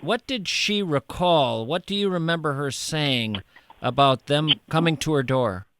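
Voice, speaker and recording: A man's voice: fairly high pitch, measured pace, a bit bright, clean tone. An older man hosting a radio call-in show, playful and teasing, laughing often. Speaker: radio talk show host. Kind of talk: casual call-in chat.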